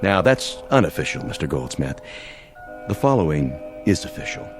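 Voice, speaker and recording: A man's voice: low-pitched, big pitch swings, normal speed, loud music behind the voice. An American man playing a domineering, mocking army major in an audio drama, talking in sarcastic, slangy, menacing lines. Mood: cold menace. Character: domineering, mocking army major enforcing order after nuclear war.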